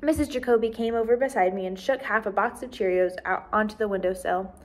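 A woman in her twenties reading a children's book aloud as a teacher, with expressive, clearly paced narration. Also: medium pitch, fast. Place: small decorated indoor room.

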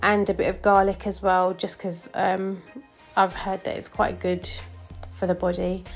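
A woman's voice: medium pitch, monotone, measured pace, vocal fry. A woman giving a casual, chatty voice-over for her vlog, with frequent ums. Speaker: vlogger. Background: faint background music.

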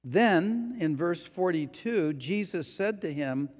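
A male pastor preaching a sermon in a slow, deliberate way, sometimes acting out quotes. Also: high voice, lively intonation, a little mellow. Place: church sanctuary. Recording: long hall reverb.

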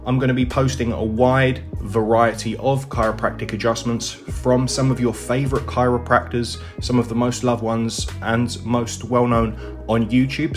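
Guy doing a scripted channel intro, host style, guitar music under him. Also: mid-range voice. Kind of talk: scripted narration, video intro.

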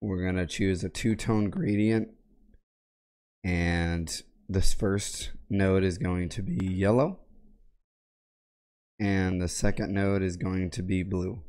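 Low-voiced sick man explaining software steps. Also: fairly even intonation, takes it slowly, pauses often. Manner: plain, methodical instructions with frequent throat clearing. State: sick, raspy voice. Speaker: tech tutorial creator. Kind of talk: step-by-step software tutorial. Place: home gaming room, indoors. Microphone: boom mic on arm with headset.

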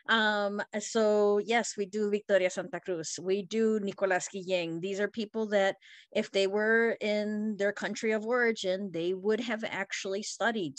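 A woman's voice: medium pitch, dry recording. A woman from Costa Rica, a Spanish language arts teacher, sharing her classroom practice earnestly in a webinar discussion. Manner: earnest, with frequent fillers.

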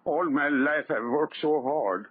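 An actor playing a simple, trusting immigrant sailor, earnest and excitable, in broken English, in 1930s radio horror style. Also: male voice, on the high side, measured pace, husky. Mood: happy, grateful. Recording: noisy, tinny 1930s radio recording.

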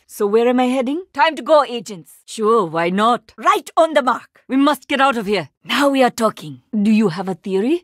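A woman's voice: on the high side, dry recording, clean studio sound, close to an everyday voice. A woman playing a confident, formal young female archer agent with an Indian accent in a video game. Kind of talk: video game character voice lines. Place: dry studio recording.

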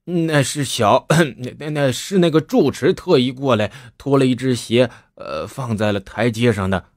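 A gruff, blustering brute of a man, played by a male storyteller. He sounds low and heavy and is recorded dry and close. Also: moderate pace, bright, forceful tone, no echo. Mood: sheepish. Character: burly, brash bully of a man.

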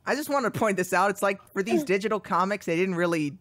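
A woman's voice: mid-range voice, animated, fast talker, fairly bright tone, dry recording. A woman chatting casually as a voice actor on a group comic dub livestream. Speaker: voice actor on stream.

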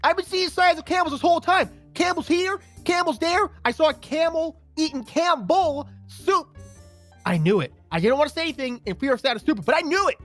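A man's voice: very high-pitched, expressive, fairly quick, a bit bright, soft background music. A man bantering playfully as a gaming video co-host, with a goofy, theatrical way of talking. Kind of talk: playful improvised gameplay banter.

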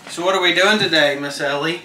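A man's voice: on the high side, quick pace, pushed and bright, slight background noise. Older man in an echoey room. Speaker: grandfather helping on a cooking vlog. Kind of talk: casual chat, coaching a child. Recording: roomy, echoey home-video sound.

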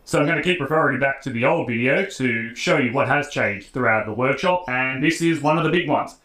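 A man's voice: mid-range voice, fairly quick, fairly bright tone, a little hiss or hum. Australian man talking in an echoey garage. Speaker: YouTube woodworking creator. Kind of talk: casual talk to camera. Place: home garage workshop, indoors. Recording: roomy garage echo.

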